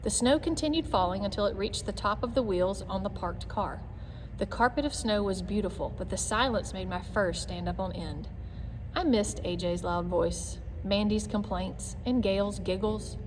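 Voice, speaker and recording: A woman reading a children's chapter book aloud in an expressive, clearly phrased narration style. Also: mid-range voice, moderate pace. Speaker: read-aloud reader. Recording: long echoey reverb, background noise.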